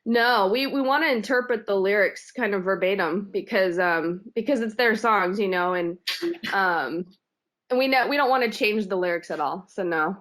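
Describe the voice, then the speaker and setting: A woman's voice: mid-range voice, normal speed, fairly bright tone. Woman singer chatting with many "you know"s. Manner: hesitant, many fillers and restarts. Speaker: singer in a cover band, guest. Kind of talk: casual interview chat.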